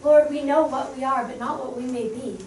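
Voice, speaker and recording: A woman's voice: high-pitched, steady tone, a little fast, darkish tone, a little hiss or hum, natural voice. A woman acting a young noblewoman driven mad by grief, drifting between speech and snatches of song, recorded from far off in an echoey hall. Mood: distracted. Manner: wandering, sings snatches of song. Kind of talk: stage acting of verse drama.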